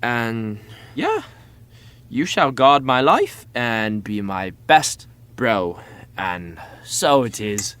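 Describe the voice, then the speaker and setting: A man's voice: mid-range voice, very animated, extremely slow, a bit bright, clean tone, heavily acted. An actor playing a young, tipsy, self-deprecating party-boy prince in a modern comedy audio drama, with slangy, boyish bravado. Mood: mock-grand. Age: young adult.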